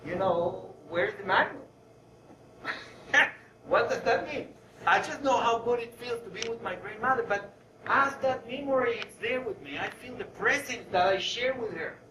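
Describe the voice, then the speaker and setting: A man from Venezuela giving a spiritual talk to an audience, telling personal stories in an animated way and acting out bits of dialogue. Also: very high-pitched, normal speed. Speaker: guest lecturer, spiritual speaker. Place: room with an audience. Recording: roomy, distant mic, faint noise.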